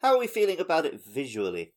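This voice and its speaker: A man's voice: fairly high pitch, wildly swooping pitch, fast talker. A man co-hosting a podcast, talking casually about video games. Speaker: podcast co-host.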